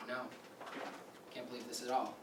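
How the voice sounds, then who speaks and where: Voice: medium pitch, level tone, normal speed, lightly acted. An actor in a staged play reading playing a casual, slangy young best friend who is guarded about a secret. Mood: uneasy. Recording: roomy, distant mic, clear background noise.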